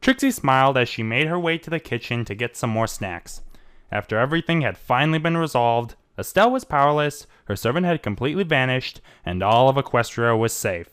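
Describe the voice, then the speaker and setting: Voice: medium pitch, animated, fairly quick, a bit bright, smooth, dry recording, clean studio sound. An amateur narrator giving a dramatic storybook reading of fan fiction.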